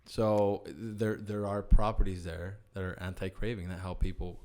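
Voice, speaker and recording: A man whose first language is Spanish, talking as a company executive guest in a casual podcast conversation, explaining things with animated enthusiasm. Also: deep voice, moderate pace.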